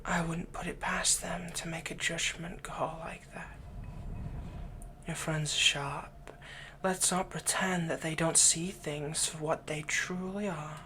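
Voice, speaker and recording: Obsessed villain lover played by a man, slow and insinuating, gravelly edge under breathy intimate murmurs, ASMR audio drama. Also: a little high, flat delivery, a little hiss or hum, exaggerated voice. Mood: smug, coaxing. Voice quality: breathy, whispery. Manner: slow, soft, possessive, insinuating. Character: smitten yandere villain comforting his captive.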